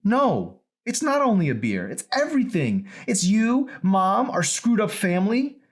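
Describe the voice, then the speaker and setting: A man's voice: high-pitched, sing-song, unhurried, pitched above the performer's own voice, exaggerated voice. A man voicing an angry, wounded teenage daughter in an audiobook, pleading and accusing with heavy emphasis. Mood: furious. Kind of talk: dramatised audiobook reading.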